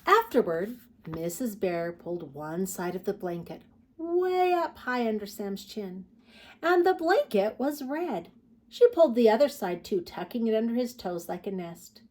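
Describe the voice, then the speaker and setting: A woman reading picture books aloud to preschoolers as a storytime host, expressive and putting questions to young listeners. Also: medium pitch, measured pace. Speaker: children's storytime host and reader. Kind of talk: read-aloud picture book narration for preschoolers.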